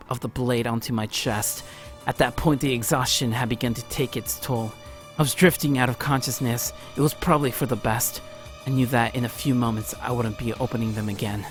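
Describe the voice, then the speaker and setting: A man reads a visual novel aloud as a let's play host, with theatrical delivery and frequent stumbles. His voice is mid-pitched, and music plays behind him in a home recording. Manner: theatrical, often stumbles over the text. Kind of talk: dramatic read-aloud narration of visual novel.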